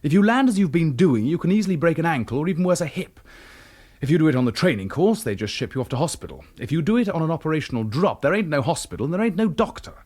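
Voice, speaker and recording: An actor playing a seasoned wartime resistance leader in a radio drama, firm and plain-spoken. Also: male voice, a little high, very animated, quick pace, a little mellow. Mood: firm, instructive.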